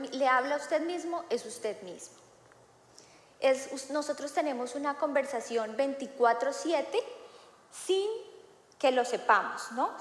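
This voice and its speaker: Thirtyish woman preacher with a somewhat high voice, talking casually from the pulpit, recorded with heavy room echo. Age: 30s. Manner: conversational, frequent filler "eh" and "¿no?". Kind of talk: sermon. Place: church hall. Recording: echoey hall with long reverb.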